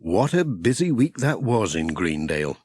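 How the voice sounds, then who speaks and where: A man narrating a children's story in an expressive, scripted storytelling manner. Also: deep voice, moderate pace, breathy edge, no room sound. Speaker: audiobook narrator.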